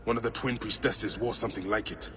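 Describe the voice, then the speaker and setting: An actor playing a determined, brooding investigator in ancient Egypt in a video game, with a terse, purposeful delivery. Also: male voice, on the low side, steady tone, fast talker, noisy background, close to an everyday voice. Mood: focused, deliberate. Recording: music behind the voice.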